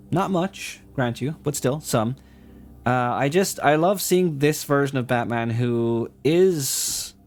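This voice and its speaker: A male gaming host with an average-pitched voice chats casually about what he is playing. He rambles and halts, working out his thoughts as he goes, with faint music underneath. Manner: halting, thinking aloud. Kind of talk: casual, rambling gameplay commentary.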